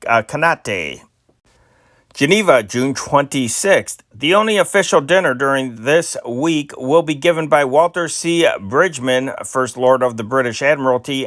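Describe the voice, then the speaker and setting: Halting amateur man reading old newspaper stories. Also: medium pitch, fairly bright tone, no echo, studio quality. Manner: halting, stumbles on names and corrects himself; amateur, non-professional delivery.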